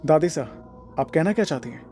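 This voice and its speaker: A man's voice: fairly high pitch, animated, fairly quick, warm tone, soft background music, lightly acted. A man playing a blunt, defiant protector who challenges his elders in an audio drama. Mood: indignant. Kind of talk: Hindi audio drama acting.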